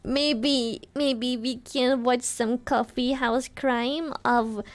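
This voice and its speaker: High, unhurried woman with a sore throat. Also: fairly even intonation. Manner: giggly and playful. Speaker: streamer. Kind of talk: casual live-stream chat.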